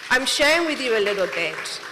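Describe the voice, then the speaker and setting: A woman's voice: on the high side, big pitch swings, fairly quick, noisy background. A young adult woman, a company CEO, giving an earnest prepared speech to an audience in a large hall. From not Nigeria. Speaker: CEO and manufacturer giving a talk. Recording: long hall reverb.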